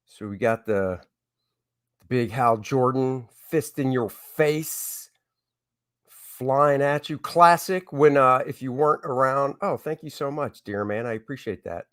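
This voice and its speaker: A man chats as a livestream host, easygoing and rambling, with a middling pitch. The sound is dry and close, and he talks informally, as if to regulars. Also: measured pace, a bit bright, dry recording. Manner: easygoing, rambling, reads and answers chat. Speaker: comic artist hosting a livestream. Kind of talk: casual livestream chat to viewers.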